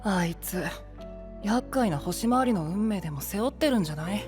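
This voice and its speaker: Boyish young man, prickly, sulky, tart phrasing, anime audio drama, clear music bed. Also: sits high, expressive, normal speed. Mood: concerned. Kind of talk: Japanese anime voice drama acting.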